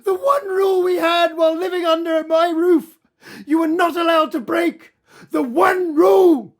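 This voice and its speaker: A woman's voice: very high-pitched, steady tone, measured pace. A woman acting in an audio play as a strict, indignant middle-aged mother, scolding and sarcastic. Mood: furious. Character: strict, indignant mother of a runaway teenage daughter.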